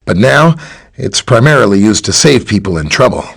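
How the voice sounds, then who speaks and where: A man playing a friendly, helpful scientist superhero in a video game, with a chatty, polite, explanatory way of talking and a slightly husky voice. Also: fairly low pitch, lively intonation, moderate pace, a bit bright, exaggerated voice. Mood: explanatory, earnest. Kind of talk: scripted video game character dialogue.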